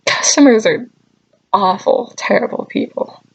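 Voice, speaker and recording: A woman's voice: medium pitch, animated, a little slow, creaky, breathy edge, low-quality recording. A woman vlogger telling stories casually, with a joking, mock-scolding manner and dry asides. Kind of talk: casual comedic storytelling to camera.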